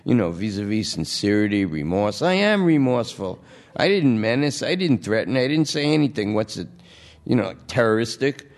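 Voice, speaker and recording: A man's voice: fairly low pitch, big pitch swings, normal speed, voice pushed up. A man voicing a blunt male police detective who coaxes and bargains in a dry, persuasive way. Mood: coaxing.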